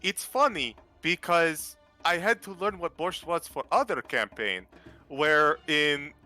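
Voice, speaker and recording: A man's voice: a little high, takes it slowly, sharp tone, faint music behind. A man playing a tabletop game, chatting with dry amusement and joining a joke Russian accent. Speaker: player.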